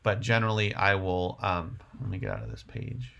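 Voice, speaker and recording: A man's voice: sits low, big pitch swings, normal speed, vocal fry, fairly bright tone. A man hosting a casual livestream, answering viewer questions in a rambling way, thinking aloud as he goes. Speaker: livestream host.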